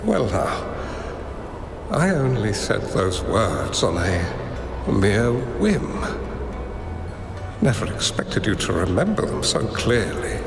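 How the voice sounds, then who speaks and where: An actor playing a wise elderly hermit master in a game, gentle and solemn, with measured, deliberate phrasing. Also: male voice, fairly low pitch, huge pitch swings, vocal fry, full character voice. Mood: gentle musing. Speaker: mentor character in a game story. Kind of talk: dramatic game voice acting. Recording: reverberant hall with music. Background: music.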